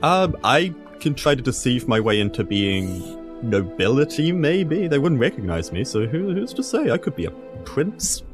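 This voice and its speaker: A man's voice: mid-range voice, sing-song, normal speed, over music. A man chatting casually as a player in an improvised tabletop role-playing game. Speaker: player in a tabletop RPG podcast.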